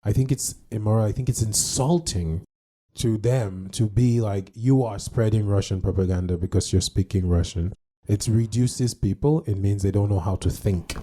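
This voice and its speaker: Man, native english speaker, very mellow dark tone, lowish voice, casual podcast chat, opinionated, self-correcting. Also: normal speed. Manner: opinionated, corrects himself mid-thought. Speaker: podcast co-host. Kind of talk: casual discussion.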